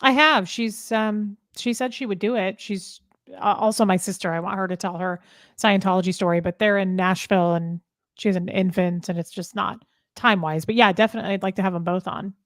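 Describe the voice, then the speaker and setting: A woman's voice: medium pitch, fairly even intonation, fairly quick, dry recording, studio quality. A woman guest on a live stream, answering questions in an agreeable, informal, chatty way. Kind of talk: casual chat, answering viewer questions.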